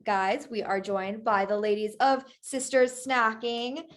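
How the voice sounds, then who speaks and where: A woman's voice: on the high side, measured pace. A young woman hosting a podcast, giving chatty, upbeat introductions and interview questions. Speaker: podcast host. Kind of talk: casual interview chat.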